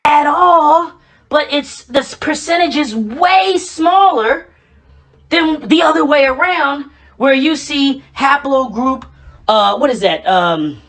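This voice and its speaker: A woman's voice: sits high, animated, slow pace, a bit bright. Assertive woman lecturing. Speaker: video commentator. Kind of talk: argumentative commentary.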